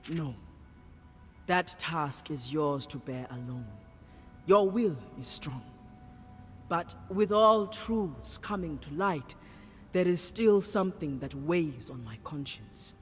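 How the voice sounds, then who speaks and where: An actress playing a solemn, devout serpent priestess in a game cutscene, speaking in a measured, ceremonial manner. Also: female voice, deep voice, expressive. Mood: remorseful, grave. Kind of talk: dramatic game cutscene acting. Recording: reverberant hall with faint music behind.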